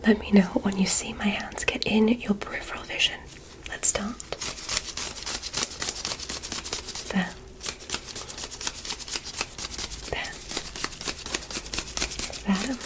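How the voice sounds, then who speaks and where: A woman playing a curious, gently condescending alien medical examiner in an ASMR roleplay, speaking in a soft, breathy, measured whisper with clinical instructions. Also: medium pitch, steady tone, natural voice. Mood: curious, soothing. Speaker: ASMR performer.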